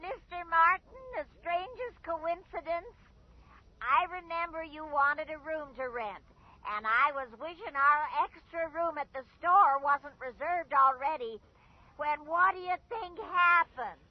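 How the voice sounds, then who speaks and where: An actress playing a chatty, friendly small-town storekeeper in a radio drama, with gushing, sociable chatter. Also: female voice, high voice, measured pace. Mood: bubbly. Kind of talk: 1980s radio horror drama acting. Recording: dull lo-fi radio recording.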